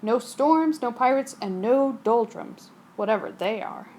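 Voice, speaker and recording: A woman voicing a gentle, good-natured male sea serpent in a storybook reading, musing in a mild voice. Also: on the high side, animated, a little slow, slight background noise.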